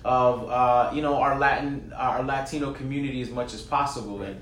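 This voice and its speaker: A man, a guest in an interview, talking in an energetic, conversational way with many "you know"s and repeated phrases. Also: medium pitch, brisk. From New York. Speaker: guest, educator. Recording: roomy echo, faint noise.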